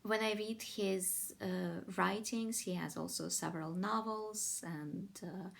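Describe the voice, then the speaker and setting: Woman, heavy vocal fry, thoughtful, enthusiastic, pauses to find words, informal poetry talk. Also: mid-range voice, a bit bright. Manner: reads poetry aloud in Ukrainian. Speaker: presenter of a poetry channel. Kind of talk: informal talk about a poet and his poem.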